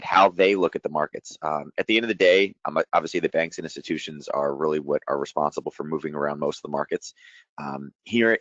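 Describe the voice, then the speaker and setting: A middle-aged man presents an informal trading webinar in a low, somewhat strained voice. He moves quickly through his explanations in a chatty way. The sound is dry and close, with a dull lo-fi quality. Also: no room sound. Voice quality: voice deeper than usual from shouting. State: strained voice after a day of loud coaching. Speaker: trading educator hosting a market breakdown.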